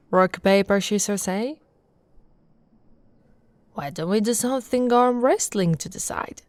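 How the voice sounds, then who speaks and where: A woman acts out a female orc warrior in an ASMR roleplay, affectionate and playfully teasing. She takes her time over her phrases, at a middle pitch. The sound is dry and intimate, close on the microphone. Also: takes it slowly, pauses often, darkish tone, dry recording, natural voice. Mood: teasing, competitive. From Italy.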